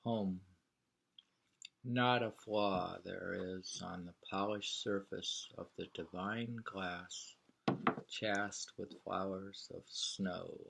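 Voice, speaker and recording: A man reading poetry and travel prose aloud informally, in a halting, deliberate way. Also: sits low, steady tone, vocal fry, a bit bright, slight background noise, low-quality recording. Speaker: reader of a poetry travel sketch.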